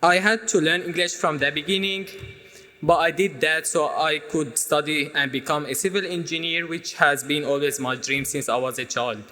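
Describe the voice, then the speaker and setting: A young man in his twenties, originally from Syria with Arabic as his first language, gives a prepared speech in an earnest, measured way. His voice is bright and fairly high for a man. The sound carries the long reverb of a big hall. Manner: earnest, measured delivery with small restarts. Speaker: student speaker.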